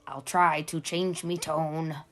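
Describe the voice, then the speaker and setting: A woman's voice: sits low, flat delivery, slow, faint music behind, voice pushed down. A woman voicing a grumpy male pirate who whines and grumbles in a children's storybook. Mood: reluctant. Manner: whiny, grumbling pirate talk.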